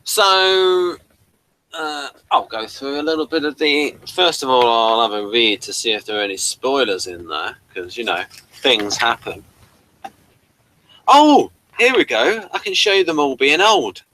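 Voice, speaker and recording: Casual online host: British male, unhurried and rambling, with a forward, bright tone. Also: medium pitch, animated, a little hiss or hum, rough recording. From Britain. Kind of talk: casual review chat. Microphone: webcam livestream setup.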